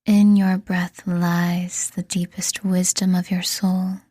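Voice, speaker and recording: A woman reading a scripted guided meditation in a soothing, deliberate manner, leaving pauses between phrases. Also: fairly low pitch, flat delivery, dark tone, husky, no echo, clean studio sound. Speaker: meditation guide and podcast host.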